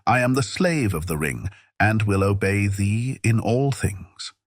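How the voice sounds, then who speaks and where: A man's voice: on the low side, animated, measured pace, no echo, clean studio sound. A man playing an enormous, frightful genie who speaks in solemn, archaic phrases as an obedient slave in a fairy-tale audiobook. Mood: solemn, commanding.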